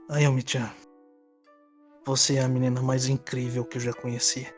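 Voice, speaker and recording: A man's voice: mid-range voice, lightly acted. A man playing a lovestruck young man in an audio drama, earnest and nervous, speaking with dramatic feeling. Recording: music under the voice. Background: background music.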